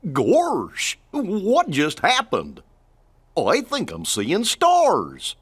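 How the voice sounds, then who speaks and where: A goofy, kindly dog in a game cartoon. He drawls very slowly in a husky, folksy voice that swoops up and down. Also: high voice, wildly swooping pitch, full character voice. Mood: dazed. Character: goofy, slow-witted, good-natured male cartoon dog. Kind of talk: animated game cutscene acting.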